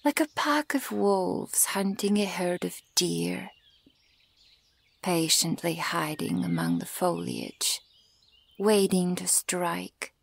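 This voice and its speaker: A woman performs a haughty, centuries-old elven huntress for an ASMR roleplay. Her voice is on the low side and heavy with creak. She speaks very slowly and deliberately, with cold condescension and menace, sometimes slipping toward a whisper. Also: heavily acted. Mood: cold, taunting. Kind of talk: slow ASMR roleplay monologue.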